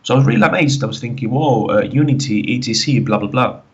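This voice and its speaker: A man's voice: medium pitch, fast talker, muffled recording. A man from the Canary Islands with a Spanish accent mixed with British English, calling in and telling his story in a rambling way, full of fillers. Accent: Spanish with British English mix. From Canary Islands, Spain. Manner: rambling, many fillers and restarts. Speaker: caller. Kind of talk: phoned-in personal story.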